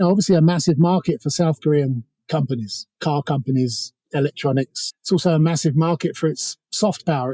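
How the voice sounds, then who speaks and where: A man's voice: on the high side, mellow, no room sound. A man speaking as an interview guest, giving informal, discursive analysis with a wry edge. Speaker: interview guest, author on North Korea.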